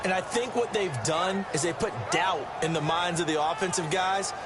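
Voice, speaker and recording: An actor playing a chatty, emphatic American ex-player who explains plays as a football game's color commentator. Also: male voice, high voice, normal speed, very noisy, close to an everyday voice.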